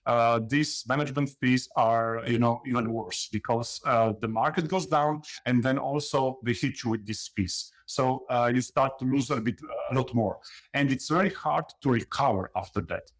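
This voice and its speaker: A man from Slovenia, a guest in an interview, explaining investing in a halting way full of fillers and "you know". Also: mid-range voice. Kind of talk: conversational interview.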